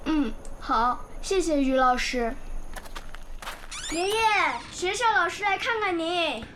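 Dutiful, high-pitched village schoolgirl. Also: expressive, slow, a bit bright, slight background noise, heavily acted. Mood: polite, caring. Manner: polite, earnest. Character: dutiful, apologetic young village schoolchild, a girl. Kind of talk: radio drama acting.

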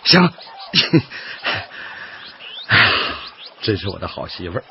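An actor playing an earnest, stubborn, cheerful laid-off worker in a radio drama, coaxing and folksy, with a Northeastern Chinese accent. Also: male voice, low voice, very animated, quick pace, gravelly, heavily acted. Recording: dull lo-fi audio, loud background noise.

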